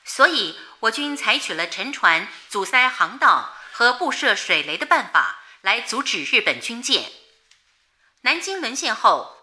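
A woman's voice: on the high side, bright, forceful tone, exaggerated voice. An actress playing a solemn female news announcer reading a formal, declamatory wartime bulletin in a radio drama.

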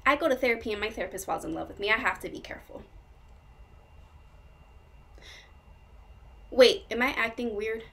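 A woman's voice: fairly high pitch, very fast, gravelly, sharp tone. A woman performing a stage monologue as a whimsical, melancholy dreamer, quirky, chatty and effusive as she confides. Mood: giddy, confiding. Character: whimsical, dreamy, melancholy woman, swinging between joy and sadness.

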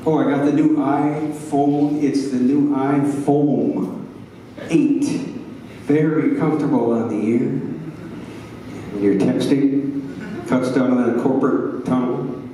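A man's voice: mid-range voice, fairly even intonation, slow pace, mellow. An older man doing rambling, folksy stand-up on a small stage, often checking in with his audience. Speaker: stand-up comedian at an open mic. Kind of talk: stand-up comedy. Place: small bar stage, indoors. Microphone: handheld mic through speakers, recorded by a far camera. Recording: echoey room, distant, noisy.

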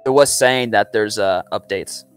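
A man's voice: on the low side, moderate pace, clean tone, soft background music. A young man chatting casually and earnestly in a rambling reaction at home. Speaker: reactor and amateur voice actor. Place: small room at home.

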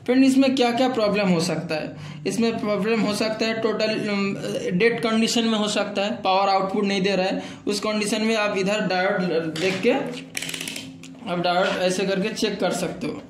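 A man teaching electronics repair at a workbench, walking viewers through a circuit step by step with frequent pauses and fillers. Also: high voice, fairly even intonation, a little hiss or hum. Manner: halting explanation with many fillers, often addressing viewers as friend. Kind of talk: hands-on repair tutorial explaining a circuit. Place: workbench with circuit board and drawings. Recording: echoey room.

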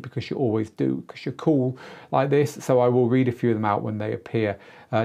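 A man's voice: medium pitch, vocal fry, darkish tone. A middle-aged man hosting a live Q&A, explaining things casually and emphatically in an informal, chatty way. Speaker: host and DJ tutor. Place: small indoor studio. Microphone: lapel mic.

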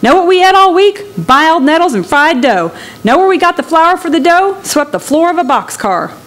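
A woman reader plays a hungry migrant farmer man from a novel, desperate for land. The voice rises high above plain narration, with folksy country wording and an impassioned, pleading manner. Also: big pitch swings, normal speed, a bit bright, full character voice. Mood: agitated, defiant. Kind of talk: storybook character in a literary reading.

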